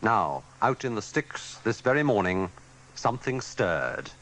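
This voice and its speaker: A man's voice: fairly low pitch, big pitch swings, measured pace, fairly bright tone. A man presenting a scripted radio news feature in a wry, arch, wordy way. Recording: faintly noisy archive radio recording.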